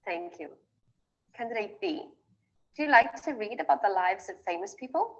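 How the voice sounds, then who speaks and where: A woman acting as examiner, reading formal exam prompts in a measured way. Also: mid-range voice, expressive, highs cut off. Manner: formal, measured, rising on each question.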